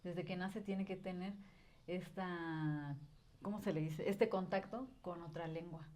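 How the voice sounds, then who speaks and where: A woman's voice: lowish voice, fairly even intonation, a little slow, warm tone. Woman explaining at length. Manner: explains at length, thinking aloud with filler words. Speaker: guest, language educator. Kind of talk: casual conversation.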